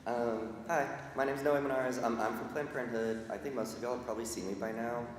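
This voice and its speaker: Loud, casual man in echoey hall. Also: mid-range voice, steady tone, fairly quick. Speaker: community health educator. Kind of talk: casual guest announcement. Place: large church sanctuary. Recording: echoey hall with long reverb.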